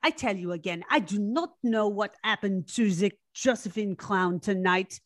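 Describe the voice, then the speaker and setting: A woman's voice: medium pitch, no echo, studio quality, lightly acted. A woman playing a proud, defiant young French femme fatale with a French accent in an old-time radio drama. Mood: proud, fervent. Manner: haughty, indignant, deliberate. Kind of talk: 1940s radio drama acting.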